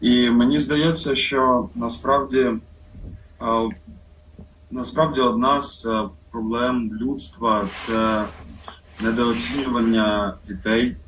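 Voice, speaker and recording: A man talking reflectively in conversation, slow and halting with many pauses and fillers. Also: mid-range voice, steady tone, a bit bright, far from the mic, slight background noise, rough recording.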